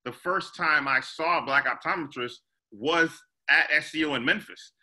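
A man speaks on a video-call panel as an optometrist. His voice is bright and forceful and sits slightly high. He presses his points emphatically, repeating words as he goes. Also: moderate pace. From Trinidad. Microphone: video call webcam.

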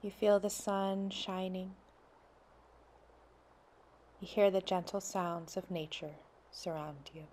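A woman leading a guided meditation in a soft, soothing way, sometimes dropping to near whispers. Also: fairly low pitch, flat delivery, slow, pauses often, slight background noise.

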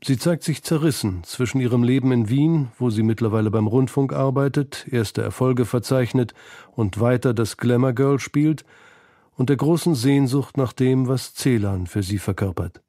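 A male narrator of a scripted radio feature. His voice is mid-range and soft-edged, with a dark, mellow tone. He reads in a measured, literary way, recorded very dry. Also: medium pitch, dry recording.

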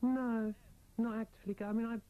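A woman's voice: on the high side, fairly even intonation, quick pace. A woman in her thirties speaking candidly as an interview guest, explaining herself in a reflective, conversational way. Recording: narrow-band, phone-like old radio aircheck.

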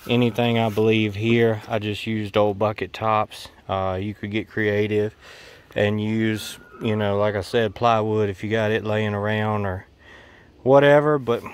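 A man's voice: on the low side, smooth. A man with a Southern US accent talking casually and off the cuff through a homestead DIY project, folksy and plain-spoken, rambling a little. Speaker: homestead DIY video maker. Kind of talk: casual unscripted how-to narration. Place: outdoors on a rural homestead.

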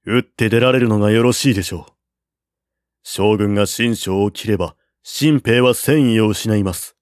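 A man voicing a calm, shrewd male strategist who counsels in a measured, persuading way, in a storybook reading. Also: lowish voice, dry recording, clean studio sound, natural voice.

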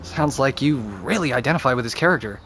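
A man's voice: mid-range voice, expressive, fast, fairly bright tone. A man playing a defensive, sardonic seventeen-year-old boy with an American accent in a naturalistic audio drama. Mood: bantering. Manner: dry, defensive. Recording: clear background ambient noise.

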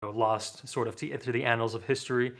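A man's voice: mid-range voice, quick pace, creaky. Young guy, french is his first language, easygoing chatty podcast guest, laughs a lot, on a desk mic over a video call. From France. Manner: relaxed, thoughtful, laughs often. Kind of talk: casual chat. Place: home room, seated at desk. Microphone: desk mic with headset, video call.

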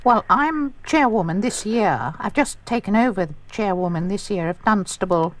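A man's voice: high voice, animated, normal speed, pitched above the performer's own voice. A man voicing a prim, genteel middle-aged chairwoman on a prank phone call, polite and hesitant. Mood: polite, eager.

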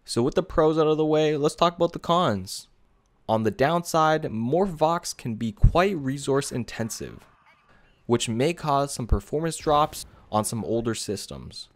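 A man presenting a scripted software review as a voice-over, speaking casually and directly to viewers. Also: on the high side, expressive, measured pace. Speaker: video creator and presenter.

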